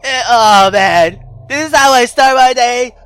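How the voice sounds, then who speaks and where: A man hosting a let's play, reacting loudly and excitably in casual slang with plenty of swearing. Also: very high-pitched, measured pace, clear, resonant tone, no echo. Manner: loud, swearing, slangy, startled exclamations.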